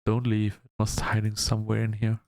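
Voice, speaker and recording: A man hosting a gaming video, reading story text aloud in a halting, measured storytelling delivery. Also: on the low side, monotone, gravelly, darkish tone, dry recording, studio quality. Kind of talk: let's-play narration, reading game text aloud.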